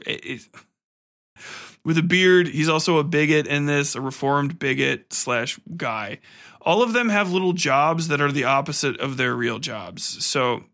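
Male podcast host, bright forceful voice, irritated and profane, casual review rant. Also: on the high side. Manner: blunt, irritated, swears constantly.

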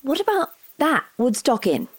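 A woman's voice: high-pitched, moderate pace, slight background noise. A woman playing a restless, wry partner bantering at home in a naturalistic audio drama. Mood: wry, playful. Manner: wry, animated, complaining.

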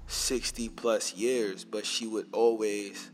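A young man hosting a podcast, telling loose, slangy stories in a casual way and dropping into low asides. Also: fairly even intonation, takes it slowly, soft background music, rough recording. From Montreal, Canada. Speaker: podcast host. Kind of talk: casual storytelling.

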